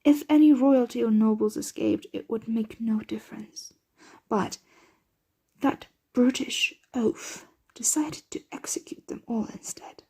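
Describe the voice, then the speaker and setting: A woman playing a protective, doting yet anxious vampire mistress in an ASMR roleplay, breathy and often whispering, with formal, measured diction. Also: a little high, a little mellow. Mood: frustrated, weary.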